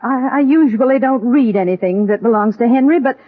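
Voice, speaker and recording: A woman's voice: fairly high pitch, a little fast, natural voice. An actress playing a fretful but determined middle-aged mother in 1940s radio comedy style. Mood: worried. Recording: muffled, lo-fi old radio broadcast.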